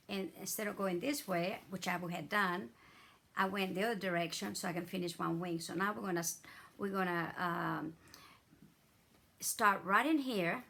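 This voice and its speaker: A hoarse middle-aged woman, chatting her way through a craft tutorial with many fillers and restarts. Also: medium pitch, bright, forceful tone. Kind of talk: casual step-by-step craft demonstration.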